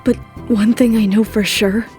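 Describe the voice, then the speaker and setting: A woman's voice: medium pitch, steady tone, normal speed, a little mellow, faint music behind, voice pushed down, natural voice. A woman voicing a confident, loving but defiant young model in a romantic audio drama, poised and assertive. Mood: loving, teasing.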